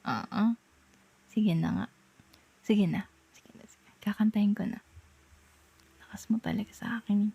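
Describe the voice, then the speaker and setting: A young Filipino woman playing a tender, playful girlfriend in an intimate ASMR roleplay, murmuring softly and coaxingly close to the mic. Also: mid-range voice, unhurried, vocal fry, long pauses, slightly rough. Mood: coaxing, giving in. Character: tender, playful girlfriend soothing partner to sleep. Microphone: close microphone.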